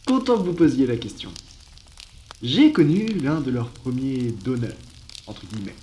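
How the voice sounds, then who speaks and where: A man's voice: medium pitch, lively intonation, moderate pace, dark tone, reverberant, slight background noise. A man narrating a scripted ASMR roleplay as a storyteller.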